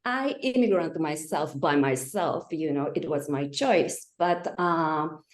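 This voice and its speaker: A woman in her forties with a Japanese accent, a posture coach joining a video call, speaking haltingly with frequent "uh". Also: sits low, reverberant. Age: 40s. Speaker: posture coach, audience guest. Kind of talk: casual question on a video call.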